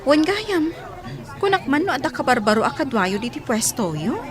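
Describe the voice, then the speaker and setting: A woman playing an excitable, grumbling young working student in an Ilocano comedy radio drama. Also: high voice, moderate pace, a crowd in the background. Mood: teasing.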